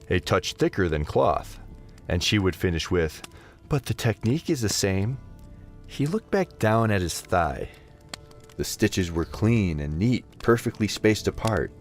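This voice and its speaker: A man narrating a scripted fantasy story as an expressive audio drama narrator. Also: low voice.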